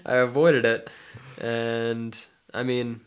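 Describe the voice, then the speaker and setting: A man's voice: mid-range voice, extremely slow, clear, resonant tone, dull audio. A young man co-hosting a casual talk podcast, congested and a little nasal from a cold. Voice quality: nasal, stuffy. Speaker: podcast co-host, mindfulness life coach. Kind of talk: casual chat.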